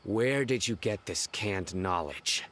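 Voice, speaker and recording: A man voicing a stern young enforcer in a game, speaking in a curt, flat, authoritative way. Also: deep voice, slow pace, exaggerated voice. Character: stern young male judge-enforcer, cold and relentless. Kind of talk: game voice acting.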